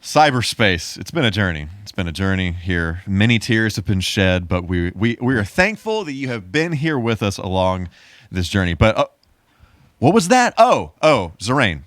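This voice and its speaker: A man's voice: lowish voice, big pitch swings, normal speed, a bit bright, no echo, studio quality. A man hosting a podcast in a casual, playful style with a goofy bit of showmanship. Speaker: podcast host.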